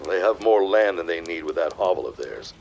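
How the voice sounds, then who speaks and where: A man's voice: low voice, expressive, fairly quick, darkish tone, slight background noise. An actor playing an arrogant, scheming 1910s businessman with haughty, formal diction in an audio drama. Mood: curt, scheming. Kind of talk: period audio drama acting.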